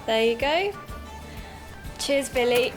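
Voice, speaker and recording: A woman's voice: on the high side, expressive, slow, music in the background. A woman playing a keen young amateur podcaster in an audio drama, chatty and explanatory in manner. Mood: friendly, casual. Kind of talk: naturalistic audio drama dialogue and narration.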